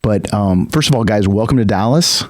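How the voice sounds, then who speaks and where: A man's voice: lowish voice, normal speed, darkish tone, no echo. A middle-aged man hosting an interview podcast, friendly and asking long leading questions, recorded in a studio. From Iran, raised in the United States. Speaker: podcast host.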